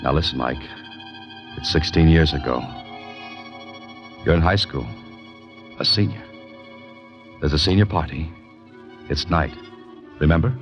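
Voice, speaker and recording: An actor playing a determined amateur investigator in a 1940s radio mystery, narrating and questioning in a terse, hardboiled way. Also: male voice, very deep voice, measured pace, long pauses, soft background music. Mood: firm, probing. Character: deep-voiced, determined male amateur investigator narrating his case. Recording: dull vintage radio recording.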